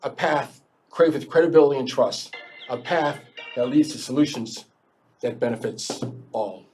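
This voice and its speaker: A man giving a measured, slightly halting prepared statement as a town board candidate at a local debate. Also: medium pitch, a little mellow, faint music behind.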